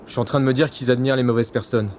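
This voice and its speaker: An actor playing a weary, sardonic secret agent in an audio drama, sarcastic and given to muttering. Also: male voice, mid-range voice, fairly even intonation, very fast, a little mellow, slight background noise, lightly acted. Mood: jaded.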